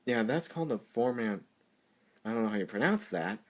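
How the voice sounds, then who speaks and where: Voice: medium pitch, animated, brisk. A tutorial presenter talking off the cuff over a screen recording, rambling and easily amused. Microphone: computer microphone during screen recording.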